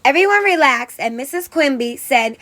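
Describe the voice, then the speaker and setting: A woman teacher reading a story aloud to children in an expressive narrating style, with dramatic emphasis. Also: sits high, moderate pace. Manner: dramatic emphasis on exclamations and character lines.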